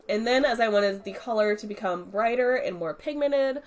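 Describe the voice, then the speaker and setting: A woman's voice: a little high, moderate pace. A young woman, congested from a cold, chatting casually as she talks through her art supplies. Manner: chatty, relaxed, explaining as she goes. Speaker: art channel host. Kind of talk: casual art review voice-over.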